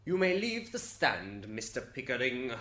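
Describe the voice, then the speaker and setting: A man's voice: medium pitch, big pitch swings, measured pace, sharp tone. Man acting as stern heavenly judge, echoey. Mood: curt, formal. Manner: formal, authoritative. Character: male Saint Peter, stern curt judge of the afterlife. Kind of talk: radio drama acting.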